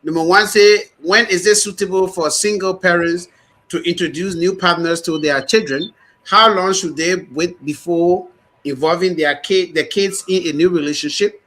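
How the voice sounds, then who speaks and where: Host: man, loud and rambling, high-pitched. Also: normal speed. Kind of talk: radio show hosting.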